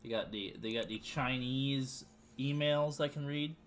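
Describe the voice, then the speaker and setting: A man's voice: medium pitch, measured pace, a little hiss or hum. A man giving casual, wry commentary as he plays a video game, often muttering to himself. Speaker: let's play video game host.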